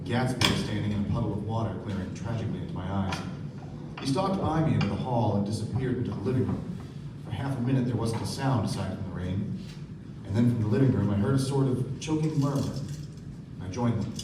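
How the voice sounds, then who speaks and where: A man's voice: lowish voice, fast talker, close to an everyday voice. An actor playing a polite, wry young man who narrates a radio play. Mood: narrating, measured. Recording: very echoey, distant, noisy stage recording.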